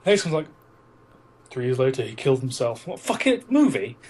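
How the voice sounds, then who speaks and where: A man's voice: medium pitch, sing-song, a little fast, a little mellow, husky. A man co-hosting a podcast, chatting casually and bluntly about films, with frequent swearing. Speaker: podcast co-host. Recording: noisy amateur podcast recording.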